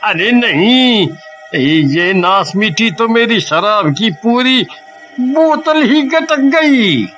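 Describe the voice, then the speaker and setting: A man's voice: very high voice, animated, drawn-out words, fairly bright tone, muffled recording, faint music behind, exaggerated voice. A man playing a cowardly, hard-drinking village husband in a comic cartoon, pleading and blustering in exaggerated style. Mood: indignant. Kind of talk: Hindi comic cartoon dubbing.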